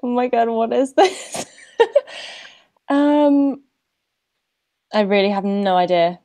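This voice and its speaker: A woman's voice: a little high, animated, slow pace, dark tone. A woman casually answering as a quiz contestant, thinking aloud.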